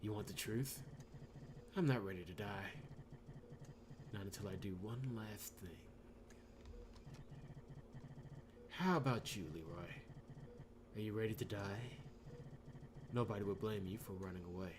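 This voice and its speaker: Visual novel cynic, read by a male player: injured, fatalistic man, dismissive and mocking, lowish voice, clear background noise. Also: moderate pace, pauses often, lightly acted. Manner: sardonic, dismissive.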